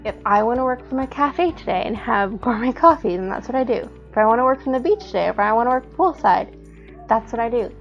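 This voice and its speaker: A woman's voice: fairly high pitch, animated, brisk, muffled recording, faint music behind. A woman talking in a documentary interview as a business coach.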